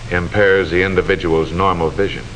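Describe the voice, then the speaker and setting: An American man narrating a 1940s instructional film in a formal, measured, didactic way with precise enunciation, on an old film soundtrack. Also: low-pitched. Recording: noisy 1940s film soundtrack, steady hiss.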